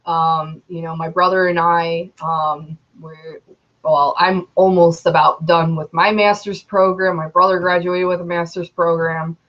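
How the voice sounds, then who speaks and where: Young woman, twenties, slow rambling vlog talk, lowish voice, dull lo-fi sound. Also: flat delivery. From Metro Detroit, Michigan, USA. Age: 20s. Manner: rambling, many ums and you knows. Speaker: YouTuber.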